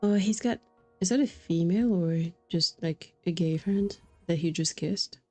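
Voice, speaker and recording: Mellow-voiced woman streaming a game. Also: fairly low pitch, normal speed. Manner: informal, joking, reactive. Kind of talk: casual live game commentary. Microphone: streaming microphone. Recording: game music behind the voice. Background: faint game music.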